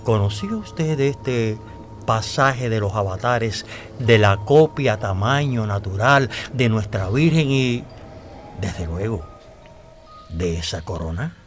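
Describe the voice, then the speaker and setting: An actor in a radio drama playing a devout, talkative man who tells old secrets in a deliberate, dramatic way with hesitations. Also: fairly low pitch, animated, soft background music. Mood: confiding, suspenseful.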